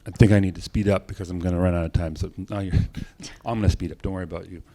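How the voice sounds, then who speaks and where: A man's voice: deep voice, fairly even intonation, brisk, warm tone. A man giving an informal conference talk on technique as a presenter, speaking in short phrases. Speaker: cinematography presenter.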